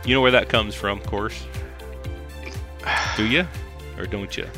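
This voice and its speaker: Bright-voiced male podcast host. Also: lowish voice, steady tone, unhurried, soft background music. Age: middle-aged. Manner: rambling, chuckling, self-correcting. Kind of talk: casual chat.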